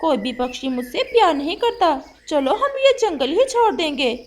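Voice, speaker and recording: A woman's voice: high-pitched, big pitch swings, moderate pace, a little hiss or hum. A woman voicing a sulky, dramatic female crow in a children's cartoon, with a whiny, complaining manner. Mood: hurt, sulky. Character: sulky, dramatic female crow wife upset about forgotten birthday. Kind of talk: Hindi children's cartoon voice acting.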